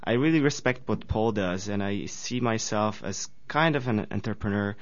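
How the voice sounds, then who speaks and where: A man's voice: on the low side, normal speed. Young man with a Polish accent. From Poland. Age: 20s. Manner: earnest, slightly nonstandard phrasing. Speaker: student guest. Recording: dull lo-fi radio recording.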